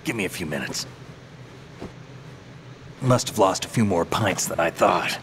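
An actor playing a world-weary, cynical veteran soldier in a video game, with a gruff, gravelly voice and a terse, matter-of-fact delivery. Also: male voice, low-pitched, animated, unhurried, fairly bright tone, slight background noise, low-quality recording. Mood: wry, guarded. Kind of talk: video game cutscene acting.

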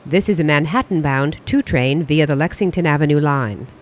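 A transit announcer reading scripted subway train announcements in a formal, measured way. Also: medium pitch. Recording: dull, lo-fi audio.

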